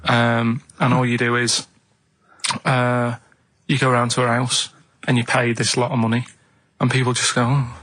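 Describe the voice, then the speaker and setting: A man co-hosting a radio chat show, rambling in a deadpan, halting way with vague explanations. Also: medium pitch, no room sound, poor audio.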